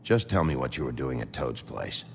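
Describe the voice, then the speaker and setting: An actor playing a gruff, impatient detective in a video game, questioning bluntly and with menace. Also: male voice, a bass voice, expressive, moderate pace, full character voice. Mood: probing. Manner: terse, blunt interrogating. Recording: long reverb, music underneath.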